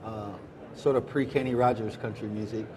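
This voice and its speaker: A middle-aged man from Hawaii, a musician, answering interview questions in a relaxed, rambling way with many pauses and restarts. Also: on the low side. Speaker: musician guest. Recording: busy crowd noise behind.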